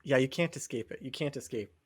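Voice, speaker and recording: A man's voice: medium pitch, fast talker, slightly rough. A man co-hosting a podcast, chatting casually with friends. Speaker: podcast co-host. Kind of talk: casual chat.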